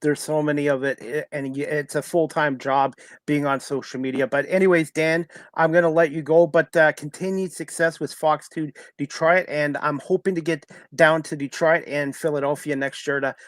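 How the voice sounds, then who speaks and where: A man's voice: on the high side, flat delivery, normal speed, few pauses, dry recording, studio quality. A man hosting a sports talk show in a casual announcing style.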